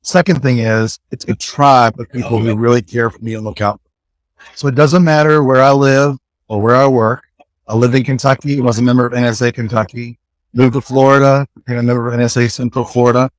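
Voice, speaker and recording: A man's voice: medium pitch, steady tone, a little fast, no room sound. Earnest man interviewed at a conference. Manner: earnest, warm, jokes along. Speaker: interviewee, speaker and executive coach. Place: conference hall.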